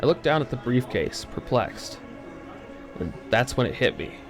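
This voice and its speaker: A man narrating a first-person horror story in a dramatic, tense, expressive way with pauses for suspense. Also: mid-range voice. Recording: eerie music under the voice. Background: background music.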